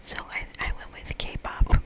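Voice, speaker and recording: An ASMR host whispering through a video game, reading the text aloud and adding casual, playful asides. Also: unhurried.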